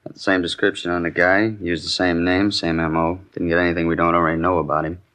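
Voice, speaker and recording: An American actor playing a gruff, wary veteran detective in casual shop talk on a 1950s radio drama. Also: male voice, low voice, level tone, fast, fairly bright tone. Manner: laconic, colloquial. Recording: dull, band-limited old radio recording.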